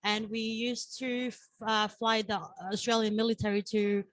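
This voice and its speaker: A young woman from Samoa, a podcast guest, shy and hesitant in a soft voice. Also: a little high, little pitch movement, moderate pace, no room sound. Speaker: guest, flight attendant.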